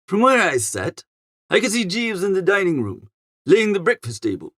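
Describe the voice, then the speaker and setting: A man as a high-voiced, flustered young English fop. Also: sing-song, normal speed, a bit bright, dry recording, studio quality, full character voice. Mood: appalled. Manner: exasperated, melodramatic, chatty. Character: flustered, foppish young English gentleman narrator. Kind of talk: comic first-person audiobook narration.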